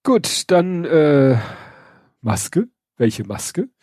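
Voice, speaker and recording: Mann, verschnupft, rauchig-heiser, trockener Raumklang, locker und spöttisch plaudernd. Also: male voice, a little high, very animated, very slow, darkish tone, breathy edge, no echo, studio quality. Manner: wry, sarcastic, hesitant with many fillers. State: stuffy nose from a cold. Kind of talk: casual news chat.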